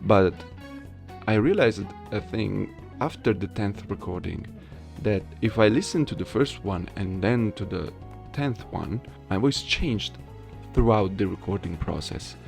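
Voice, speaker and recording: A soft-spoken man talking casually and haltingly to his viewers as an amateur voice actor presenting a video. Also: lowish voice, animated, vocal fry, soft background music.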